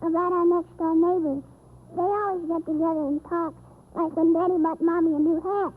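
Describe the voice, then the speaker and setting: A man's voice: extremely high pitch, steady tone, fairly quick, voice pushed up. A man voicing a cheeky, innocent, literal-minded little girl in a 1930s radio comedy act, full of naive questions. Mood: innocent, inquisitive. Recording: tinny 1930s radio recording, music.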